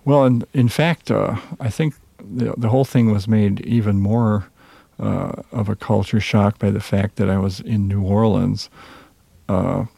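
Gravelly, soft-spoken Minnesota man. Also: on the low side, steady tone, no echo. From Minnesota, USA. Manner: hesitant, reserved, many pauses and fillers. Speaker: jazz musician guest. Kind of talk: reflective interview answers.

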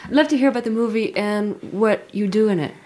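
A young woman from Philadelphia hosting an interview, her voice mid-pitched and ordinary. She asks curious questions hesitantly, restarting as she goes. She listens closely to her guest. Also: a little fast, a little mellow. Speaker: interviewer host. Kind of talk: talk-show interview.

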